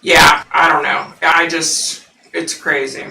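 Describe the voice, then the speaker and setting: A woman's voice: deep voice, flat delivery, slow, vocal fry, bright, forceful tone. A woman with a scratchy voice, a psychic host chatting in a rambling way with viewers on a home livestream, full of fillers. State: scratchy, husky voice. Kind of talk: casual live-stream chat with viewers. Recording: roomy, distant from the mic.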